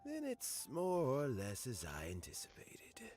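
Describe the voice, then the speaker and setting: An actor playing a calm older man, a former teacher, speaking in a measured, thoughtful way in a game. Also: medium pitch, faint music behind. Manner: measured, thoughtful, mildly amused. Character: wise older male mentor, calm teacher. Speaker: uncle figure and former teacher.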